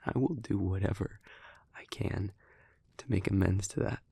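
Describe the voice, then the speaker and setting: A man playing a gentle, solemn, remorseful demon in an intimate audio roleplay, speaking to the listener in a breathy, halting, earnest near-whisper. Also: low-pitched, fairly even intonation, no room sound, full character voice. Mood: apologetic, earnest. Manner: slow, halting, earnest, with long pauses.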